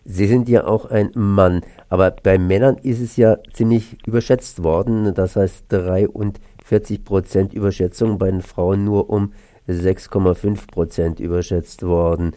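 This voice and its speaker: A man's voice: low voice, fairly even intonation, unhurried, dark tone, no echo. A man hosting a radio interview, putting questions and comments to a guest in a conversational way.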